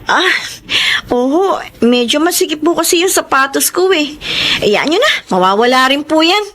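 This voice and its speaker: High-voiced, earnest young woman. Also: animated, moderate pace, fairly bright tone. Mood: dutiful, reassuring. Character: hardworking, prayerful young woman, dutiful daughter and sister. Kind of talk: Tagalog radio drama acting.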